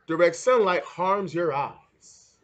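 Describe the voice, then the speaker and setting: A man reading a children's book aloud in an expressive, deliberate storytelling way. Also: high-pitched. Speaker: read-aloud narrator.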